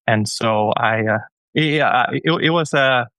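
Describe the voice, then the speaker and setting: A man's voice: mid-range voice, slow pace, fairly bright tone, dry recording. A man from Denmark, a guest scientist answering interview questions in a hesitant way, with many restarts and repeated words.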